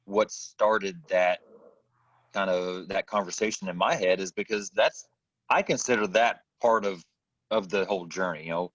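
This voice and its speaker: A man's voice: low voice, fairly even intonation, moderate pace, dry recording. A man hosting a podcast interview, rambling and hesitant as he searches for his words. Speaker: podcast host. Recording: dull, distant dry remote audio.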